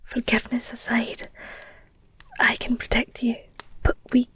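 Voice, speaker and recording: A woman playing a devoted, guilt-ridden werewolf girlfriend in an intimate audio roleplay, speaking earnestly and haltingly in a breathy whisper. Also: fairly high pitch, completely flat delivery, a bit bright, heavily acted. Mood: anguished, protective. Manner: hesitant, halting, earnest, tender.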